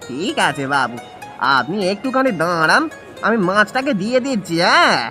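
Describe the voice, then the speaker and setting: A man's voice: high voice, sing-song, normal speed, sharp tone, faint music behind, a faint crowd, full character voice. A man voicing a humble, pleading village fish seller in a cartoon, deferential and imploring. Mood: polite, eager. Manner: deferential, imploring, calls out like a vendor. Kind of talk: cartoon voice acting in Bengali village story.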